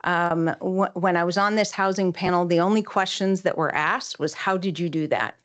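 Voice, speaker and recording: A middle-aged woman giving a prepared public comment to a city council, measured and citing figures earnestly. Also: fairly low pitch, steady tone.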